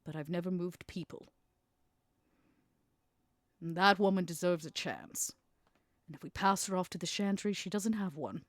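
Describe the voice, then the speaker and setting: A woman playing a shrewd female vampire smuggler in a tabletop game, bargaining in a deliberate, persuasive way. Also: fairly low pitch, no room sound, studio quality, lightly acted. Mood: earnest. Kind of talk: in-character tabletop roleplay.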